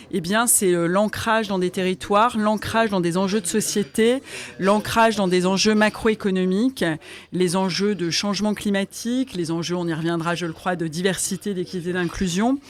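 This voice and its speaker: A woman's voice: medium pitch, steady tone, normal speed. A woman answering as an interview guest, in an articulate, explanatory way. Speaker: interview guest, foundation president.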